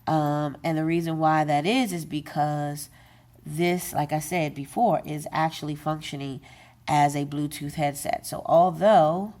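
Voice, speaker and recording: A woman's voice: sits low, fairly even intonation, unhurried, a little mellow. A woman giving an unscripted how-to demonstration of a gadget, talking casually with many fillers and self-corrections. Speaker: tech video presenter.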